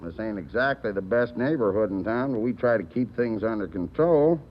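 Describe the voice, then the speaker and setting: An actor playing a gruff man who manages a run-down bungalow court, talking bluntly with rough grammar in 1940s radio acting. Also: fairly low pitch, expressive, moderate pace, a little hiss or hum, close to an everyday voice. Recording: muffled 1940s radio broadcast.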